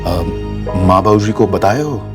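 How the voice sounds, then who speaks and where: An actor playing a wary middle-aged uncle in a TV crime drama, familiar and protective in a grudging way. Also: male voice, on the low side, slow pace, loud music behind the voice. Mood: worried.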